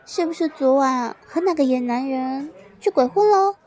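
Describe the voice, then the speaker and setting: A woman's voice: high-pitched, animated, normal speed, a little mellow. A woman playing a mocking schoolgirl bully in a radio drama, with a taunting, sneering delivery. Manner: taunting, sing-song sneering.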